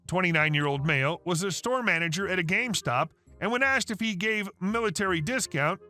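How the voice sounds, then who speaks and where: A man reading a first-person story aloud as scripted narration, with a steady read-aloud delivery. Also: sits high. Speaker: narrator of online stories. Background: faint background music.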